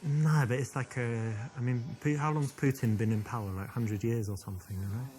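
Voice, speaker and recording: A man's voice: mid-range voice, steady tone, a little fast, a little mellow, natural voice. A man with a British accent, from London, acting out flirting conversation and dating advice in an interactive video game. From London, England.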